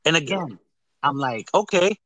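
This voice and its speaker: A man's voice: on the high side, animated, normal speed, a bit bright, no room sound. A man chatting casually as a podcast host, rambling, repeating phrases and trailing off. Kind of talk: casual chat.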